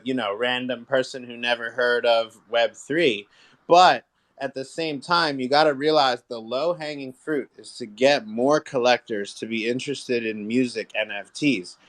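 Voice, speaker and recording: A man's voice: mid-range voice, steady tone, unhurried, fairly bright tone. Man making his case casually. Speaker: panelist in an online music discussion. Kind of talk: casual opinion talk.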